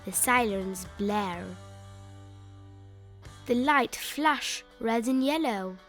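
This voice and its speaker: A woman reading a children's picture book aloud in an expressive, emphatic way, stretching out key words. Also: a little high, extremely slow. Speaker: children's story reader. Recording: music bed behind the voice.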